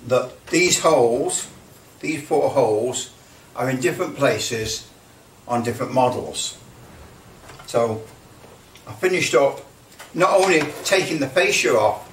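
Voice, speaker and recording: Slow-talking man, roomy distant sound. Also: mid-range voice. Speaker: presenter of a hobby project. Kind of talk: casual hands-on demonstration. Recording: roomy, distant mic, faint noise.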